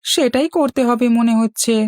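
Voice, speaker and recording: Woman doing a cheeky cartoon boy, high voice, boastful, always talking back. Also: moderate pace, a little mellow, dry recording, clean studio sound, lightly acted. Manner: cocky, bantering back-talk. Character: cheeky, stubborn, boastful young village boy. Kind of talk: children's cartoon dialogue.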